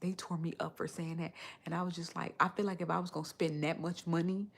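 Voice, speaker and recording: A woman's voice: on the low side, little pitch movement, quick pace, creaky. An American woman in her thirties chatting casually on a livestream, slangy and emphatic, repeating phrases to make her point. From Chicago, United States. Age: 30s. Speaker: live streamer. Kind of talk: casual livestream chat, animated storytelling.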